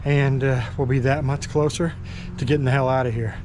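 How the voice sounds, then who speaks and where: A man's voice: medium pitch, fairly even intonation, brisk, darkish tone, slight background noise. A man in his fifties vlogging casually, talking to an action camera at arm's length. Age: 50s. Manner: chatty, laid-back tour-guide talk. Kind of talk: casual vlog narration. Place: indoor go-kart venue and home garage. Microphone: action camera held at arm's length.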